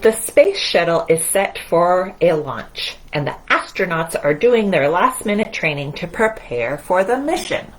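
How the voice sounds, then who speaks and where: Slow, animated woman leading children's storytime. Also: fairly low pitch, poor audio. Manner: encouraging, singsong address to young children. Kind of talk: children's storytime with movement and read-aloud.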